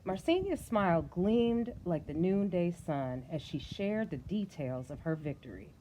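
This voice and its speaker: A woman's voice: on the low side, very animated, a little slow, warm tone, slight background noise, poor audio. A woman reading a storybook aloud expressively, with casual asides and questions to her co-reader.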